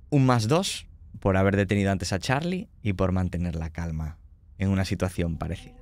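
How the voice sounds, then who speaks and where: Low-voiced man running a roleplaying game. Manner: conversational, checking in with players. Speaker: game master. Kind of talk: narrating a tabletop horror roleplaying game.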